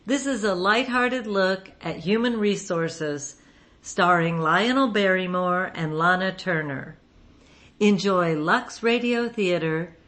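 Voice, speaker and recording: A woman's voice: mid-range voice, fairly bright tone. A woman reading a scripted introduction as host of a radio show.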